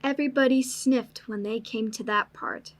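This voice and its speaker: A woman's voice: on the high side, moderate pace. A woman narrator reading a classic family story in a scripted storybook style.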